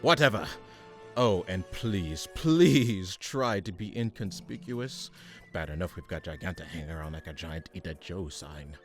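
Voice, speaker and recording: A man's voice: low voice, expressive, unhurried, soft background music. A man playing a pompous, exasperated supervillain chairing a meeting in a comedic radio play, haughty and sarcastic. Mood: exasperated, scheming.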